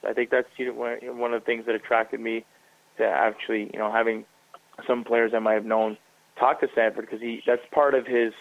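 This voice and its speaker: A man's voice: medium pitch, level tone, brisk, gravelly, no room sound. Rambling man on dry, tinny radio. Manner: rambling, full of fillers and restarts. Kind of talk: casual radio chat. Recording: dry, tinny narrow-band sound.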